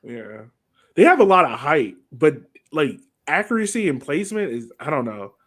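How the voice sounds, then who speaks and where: A man's voice: on the high side, big pitch swings, normal speed, a bit bright. Casual, slangy American man chatting. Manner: informal, slangy, conversational. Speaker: sports podcast co-host. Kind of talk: casual soccer match breakdown chat.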